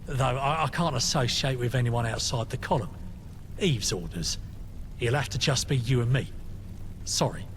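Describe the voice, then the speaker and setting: A man acting a British gunfighter companion, mid-pitched. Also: moderate pace, fairly bright tone, slight background noise, close to an everyday voice. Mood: guarded, friendly. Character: humbled, wary gunfighter companion, loyal underling. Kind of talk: dramatic game voice acting.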